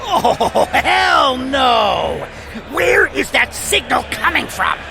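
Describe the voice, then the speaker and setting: A bloated, bullying alien tyrant from an audio drama, very high and heavily creaky. He drawls slowly and sneers like a crude showman, full of bluster. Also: very animated, shouting, over music, heavily acted. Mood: outraged.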